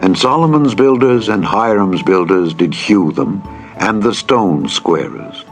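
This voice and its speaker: A man with a fairly low voice narrates scripture over faint background music. His delivery is scripted and dramatized, with solemn, deliberate phrasing that sets each clause apart. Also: animated. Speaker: narrator, Bible reader.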